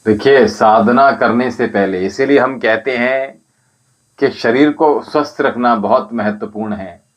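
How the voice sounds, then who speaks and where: A man who teaches spirituality and Ayurveda, answering viewers' comments on a livestream and calling each of them by name in a measured, instructive way. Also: medium pitch. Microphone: livestream setup.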